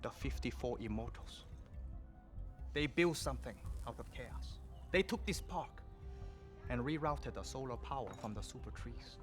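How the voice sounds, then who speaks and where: An actor playing a foul-mouthed, swaggering gangster boss in a video game cutscene, taunting and boastful. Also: male voice, medium pitch, animated, moderate pace, natural voice. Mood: bitter, angry. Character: swaggering male gangster arms buyer, foul-mouthed and bitter. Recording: music under the voice.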